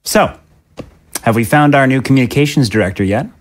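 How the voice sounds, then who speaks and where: A man's voice: medium pitch, fairly quick, close to an everyday voice. A man playing a smug, ambitious congressman in an audio drama, with a wry, self-satisfied way of talking. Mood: pleased.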